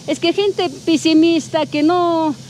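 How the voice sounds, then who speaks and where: A woman speaks in a very high voice, motherly and encouraging. She piles on endearments as she urges hard work and faith, with a faint hiss of background noise behind her outside a small shop. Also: fairly even intonation, slow, darkish tone. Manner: affectionate, motherly, full of endearments. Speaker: shopkeeper. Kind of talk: casual motivational chat. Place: outdoors at a shop in a mountain mining town.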